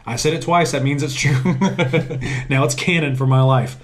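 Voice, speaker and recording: Brief: young male podcast co-host, loose jokey banter, quick to laugh at himself. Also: mid-range voice. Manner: jokey, self-deprecating, laughs often. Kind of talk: casual chat. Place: sitting on a bench.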